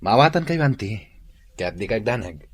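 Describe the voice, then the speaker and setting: A man's voice: lowish voice, very animated, normal speed, husky. An actor playing a respectful, reassuring young male shop helper in a radio drama. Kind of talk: Ilocano radio drama acting. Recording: loud noise with clicks.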